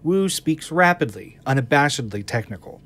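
A man narrating the scene descriptions of an audio drama in a plain, even reading. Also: mid-range voice, moderate pace. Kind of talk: scripted narration of stage directions.

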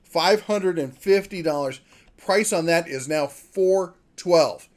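A man hosting a tool channel, casually walking through sale prices and doing the math out loud. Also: fairly high pitch, takes it slowly. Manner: chatty, upbeat, talks through price math aloud. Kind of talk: casual product deal rundown.

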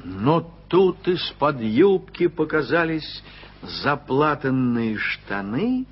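A man narrating a radio play in an expressive storytelling manner. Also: medium pitch, takes it slowly, a little hiss or hum. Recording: dull lo-fi old radio recording.

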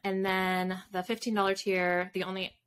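A woman's voice: mid-range voice, little pitch movement, normal speed, fairly bright tone, slight background noise. A woman in her thirties chatting casually as an interview guest on a video call. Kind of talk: casual chat. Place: indoors in a room with bookshelves, on a video call.

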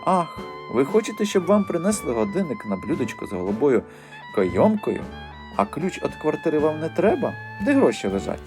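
A man's voice: a little high, wildly swooping pitch, normal speed, over music, pitched above the performer's own voice. A man voicing a sly, mocking teenage street-gang boy in an audiobook, sneering with fake politeness and swaggering slang. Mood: taunting.

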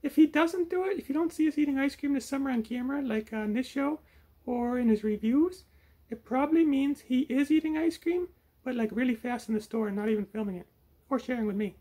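A very high, squeaky puppet creature for a comedy puppet show. The voice is excitable and strained, with a teasing, gluttonous, cheeky streak. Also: male voice, brisk, low-quality recording.

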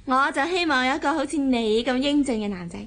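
An actress playing a sweet, trusting young newlywed wife in a radio drama, with a Hong Kong Cantonese accent. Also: female voice, high-pitched, lively intonation, fast talker, a bit bright. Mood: playful, affectionate.

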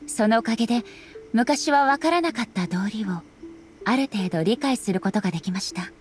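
A woman playing a solemn, dignified female ruler in an anime game, speaking formally and with measured politeness. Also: on the high side, faint music behind, lightly acted. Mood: composed. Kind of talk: anime game dialogue acting.

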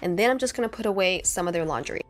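A woman's voice: on the low side, animated, very fast, over loud music. Chatty woman narrating her home vlog. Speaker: homemaking vlogger. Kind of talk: casual voice-over narration.

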